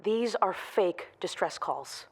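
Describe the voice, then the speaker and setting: A woman playing an apologetic audio analyst in an audio drama, speaking in a halting, contrite manner. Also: mid-range voice, animated, slightly rough, exaggerated voice. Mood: apologetic, uneasy. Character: apologetic female audio analyst retracting her findings. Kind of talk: audio-drama acting, phone call.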